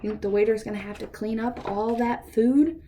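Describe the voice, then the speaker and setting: A woman reading a picture book aloud to children in an expressive way, pausing to ask her listeners questions. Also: on the high side, slight background noise. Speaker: read-aloud storyteller for children.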